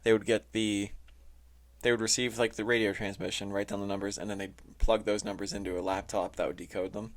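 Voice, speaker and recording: Male podcast host, lowish voice, informal explainer delivery, stop-and-start with lots of "like". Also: little pitch movement. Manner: informal, halting, heavy use of "like". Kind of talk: casual explainer talk reading from notes. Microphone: close, touchy microphone.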